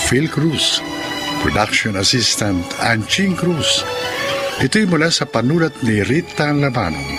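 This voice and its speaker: A man with a Filipino accent reading scripted narration as a radio drama announcer. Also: mid-range voice. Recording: loud music under the voice.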